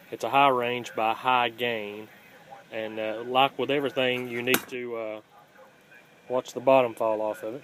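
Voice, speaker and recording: Flat-toned man, halting how-to talk. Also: medium pitch. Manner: halting, plainspoken, unscripted. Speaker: host of a scrapping how-to channel. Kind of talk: casual hands-on how-to demonstration. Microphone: handheld phone, filming one-handed. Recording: home phone video with faint background noise.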